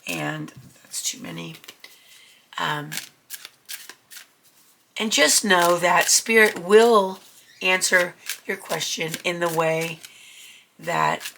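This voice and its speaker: A woman's voice: fairly low pitch, extremely slow, vocal fry, long pauses, fairly bright tone, slight background noise. A woman with a cold giving a chatty, reassuring tarot reading to her viewers. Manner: chatty, reassuring, many ums. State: getting over a cold. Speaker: tarot reader. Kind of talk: casual tarot reading to camera.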